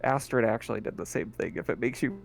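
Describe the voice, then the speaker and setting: A man running a tabletop role-playing game as game master, relaxed and chuckling often. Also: medium pitch, fast, creaky.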